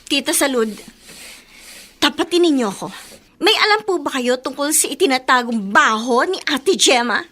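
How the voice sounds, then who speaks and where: A woman's voice: sits high, expressive, moderate pace, a bit bright. A Filipino woman acting an accusing, betrayed young sister in a radio drama, speaking with dramatic emphasis. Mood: betrayed, angry.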